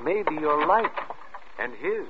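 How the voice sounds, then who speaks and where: An actor playing a concerned doctor, earnest and insistent, in an old-time radio drama. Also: male voice, fairly high pitch, very animated, unhurried. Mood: grave concern. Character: grave, concerned doctor pressing a patient's wife. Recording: muffled vintage radio with faint noise.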